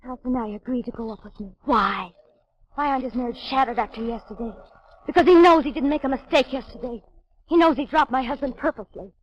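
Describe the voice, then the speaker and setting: An actress playing a proud, intense young female circus trapeze artist in forceful, theatrical 1940s radio style. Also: high voice, quick pace. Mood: defiant. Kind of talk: 1940s radio drama acting. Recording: dull lo-fi 1940s radio broadcast.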